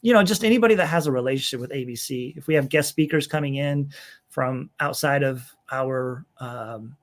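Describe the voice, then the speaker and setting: A man's voice: medium pitch, moderate pace. A middle-aged man chatting as a guest on a podcast, in a plain mid-range voice. He rambles through lists with lots of "um" and "you know," and laughs easily. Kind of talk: casual interview conversation.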